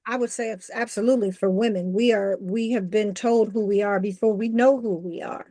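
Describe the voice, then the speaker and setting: A woman's voice: mid-range voice, fairly even intonation, brisk, warm tone, no echo. A woman hosting a podcast interview, chatting casually, affirming her guest and adding playful asides. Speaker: podcast host.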